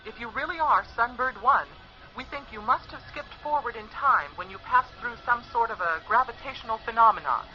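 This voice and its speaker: A woman's voice: fairly high pitch, expressive, fairly quick, soft background music. An actress playing an earnest, analytical spaceship crewwoman in a radio drama, heard through a radio filter. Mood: urgent, insistent. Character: Margot, earnest analytical female spaceship crew member from the future. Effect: radio transmission filter. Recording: muffled, noisy radio transmission.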